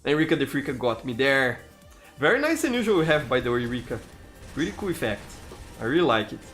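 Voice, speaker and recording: A man's voice: mid-range voice, fast talker, faint music behind. Brazilian-accented male game streamer. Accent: Brazilian Portuguese. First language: Portuguese. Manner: relaxed, chatty, frequent restarts and "you know". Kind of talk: live gameplay commentary reading chat.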